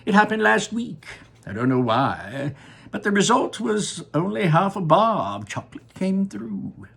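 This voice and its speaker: A frantic, eccentric chocolate maker, put on by a man, chirps and flutters in a high voice that swings wildly up and down. A gravelly edge roughens his exclamations. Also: very animated, unhurried, a bit bright, heavily acted. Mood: fretful.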